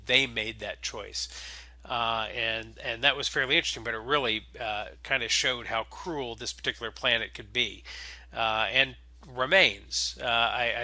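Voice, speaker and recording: A man hosting an audio log, speaking casually and off the cuff like a game master, in halting phrases with frequent filled pauses. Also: mid-range voice, sharp tone, no echo. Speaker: podcast host and game master. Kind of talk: casual spoken introduction to a role-playing audio log.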